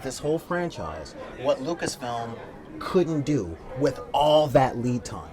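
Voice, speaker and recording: A man's voice: mid-range voice, sing-song, measured pace. An opinionated man on a panel, debating films casually and emphatically. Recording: crowd chatter, outdoor wind on mic.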